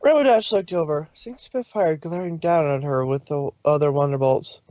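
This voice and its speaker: A man's voice: a little high, moderate pace, dark tone, no room sound. A man narrating a fan story in a casual stream reading, with occasional slips. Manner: plain reading with slips and laughs. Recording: dull dry lo-fi stream audio.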